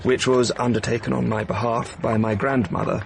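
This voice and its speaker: A man's voice: medium pitch, steady tone, brisk, creaky, slight background noise, lightly acted. A man playing a reserved English diplomat. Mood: polite. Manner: polite, hesitant, formal phrasing. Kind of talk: BBC radio drama acting.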